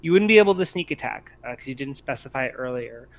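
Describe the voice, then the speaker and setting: A man narrating an improvised tabletop game as game master, feeling his way through descriptions in halting phrases. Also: mid-range voice, lively intonation, poor audio.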